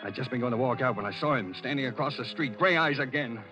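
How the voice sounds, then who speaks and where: A man's voice: medium pitch, quick pace, over loud music, close to an everyday voice. An actor playing an evasive, hesitant man on the run in a 1940s radio thriller. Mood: tense narration. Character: jittery fugitive man with lost memory, evasive noir hero. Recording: muffled, band-limited vintage radio recording.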